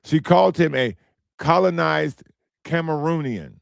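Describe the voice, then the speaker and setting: An American man hosts his own podcast, talking bluntly and going over the same points twice. His voice is hoarse and strained, with a gravelly rasp. The sound is dry and close. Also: a little high, unhurried, dry recording. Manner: animated, blunt, repeats himself. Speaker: podcast host. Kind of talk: casual solo commentary.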